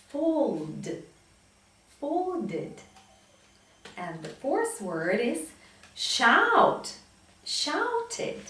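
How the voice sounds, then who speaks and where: A woman teacher speaks very slowly, with big swings in pitch as she drills words. She enunciates each one with deliberate emphasis. The room is heavily echoey and she sounds some way from the microphone. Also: fairly bright tone, far from the mic. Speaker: English teacher. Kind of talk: pronunciation lesson with drilled example words. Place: small indoor classroom with whiteboard.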